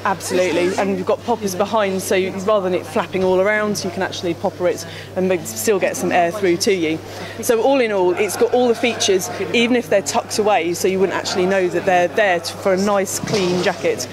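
A woman's voice: medium pitch, fairly even intonation, a little fast, hardly any pauses. A woman in her thirties explaining products at a trade show stand, talking with many fillers and restarts into a handheld mic. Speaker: company representative. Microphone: handheld mic held by interviewer. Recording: crowd chatter behind.

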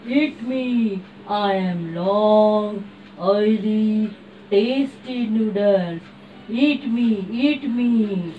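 A greasy, drawling noodles puppet, voiced by a woman, low and luring. It stretches words very slowly from across the room. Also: highs cut off, faint music behind. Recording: distant room mic.

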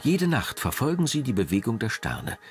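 A man narrating a scripted documentary in a measured, dramatic way. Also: mid-range voice, big pitch swings, breathy edge. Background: background music.

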